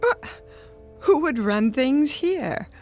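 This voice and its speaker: A woman's voice: high voice, very animated, slow pace, darkish tone, soft background music, full character voice. An actress playing a vain, stubborn, hard-of-hearing woman who runs a cosmetics company, imperious and theatrical, in a radio drama. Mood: affectionate, puzzled. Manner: imperious, theatrical, mishears people.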